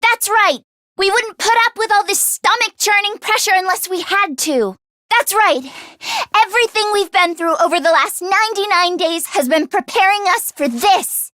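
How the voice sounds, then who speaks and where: A woman voice acting an earnest, anxious teenage schoolgirl in an English video game, with expressive, emotionally heightened delivery. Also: very high-pitched, normal speed, no echo, clean studio sound.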